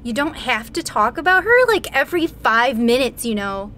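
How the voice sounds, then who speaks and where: A high, swooping little fairy companion, acted by a woman, nagging and sulky in a video game parody radio play. She swings between shrill cries and sarcastic nonchalance, unhurried, over clear background noise. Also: sing-song, bright, forceful tone. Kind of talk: comedic audio drama acting, video game parody.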